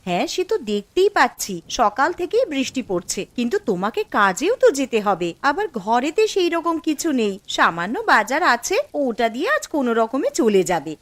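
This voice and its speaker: A busy cartoon housewife, played by a woman, practical and quick to scold. Her high voice swings lively in pitch. The sound is dry and close. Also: dry recording. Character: busy young housewife and mother, nagging but caring. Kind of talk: cartoon voice acting.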